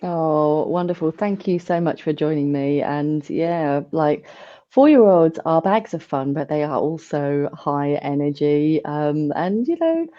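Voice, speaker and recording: A woman's voice: low voice, expressive, a little slow, dull audio. A woman hosting a podcast interview, chatty and full of filler words. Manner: chatty, many ums, warm welcoming asides. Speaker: podcast host.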